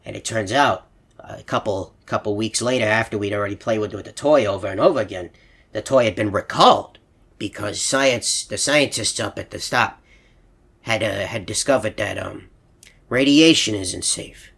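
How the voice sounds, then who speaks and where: A man's voice: lowish voice, normal speed, vocal fry, fairly bright tone, breathy edge, heavily acted. A man voicing a sweet elderly animatronic hippo in a video game, telling meandering stories in a stammering, earnest, hesitant way. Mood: wistful, earnest. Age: older. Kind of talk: rambling anecdotal monologue in a video game.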